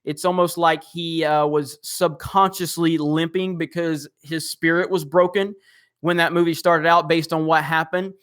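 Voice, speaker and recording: A man's voice: fairly high pitch, little pitch movement, unhurried, fairly bright tone, clean tone. A man hosting a live stream, reading comments in a rambling, casual way with lots of fillers and chuckles.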